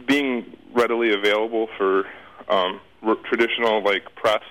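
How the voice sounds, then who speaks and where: A man's voice: on the low side, steady tone, normal speed, fairly bright tone, rough recording. A man giving casual interview answers as a guest, informal and rambling in the way he talks.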